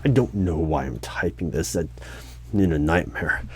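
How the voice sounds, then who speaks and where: A man playing a dry, unflappable hiring manager in a one-sided ASMR roleplay job interview, asking measured, halting questions. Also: low voice, husky. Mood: dry, probing. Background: computer keyboard typing and clicks.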